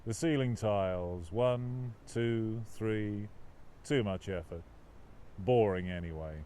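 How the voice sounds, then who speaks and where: A man reading his own novel aloud as scripted audiobook narration, with a dramatic, expressive literary delivery. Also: on the low side, slow, a little hiss or hum.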